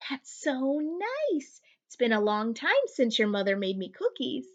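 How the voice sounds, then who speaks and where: A woman's voice: sits high, sing-song, a little slow, dull audio. A woman voicing an easily fooled father in a storybook, with exaggerated exclamations. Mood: touched. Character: easily fooled father, touched then loudly disgusted.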